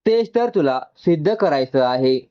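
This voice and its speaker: A man's voice: a little high, lively intonation, measured pace, dull audio. A man voicing a supportive, practical young woman in an audio storybook. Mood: encouraging.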